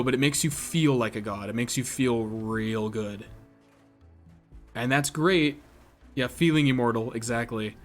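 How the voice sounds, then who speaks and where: A man giving casual live gameplay commentary, chatting with viewers and thinking aloud in a self-deprecating way. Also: mid-range voice. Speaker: game streamer. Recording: music behind the voice.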